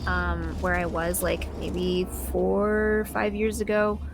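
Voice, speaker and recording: A woman's voice: fairly low pitch, monotone, slow, gravelly. Woman chatting casually over music. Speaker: interview guest, voice actor. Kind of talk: casual interview chat while playing a video game. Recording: music playing behind the voice.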